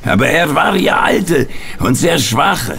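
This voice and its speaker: A man's voice: a little high, sing-song, slow, a bit bright, a little hiss or hum, full character voice. An actor playing a hot-tempered Italian man confessing a failed mission in an audio drama. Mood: bitter recounting. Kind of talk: German radio play acting.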